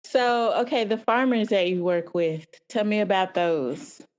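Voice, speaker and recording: A woman hosts a podcast interview. Her voice is mid-range in pitch. She puts her questions casually, as in everyday conversation. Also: a little slow, a little mellow.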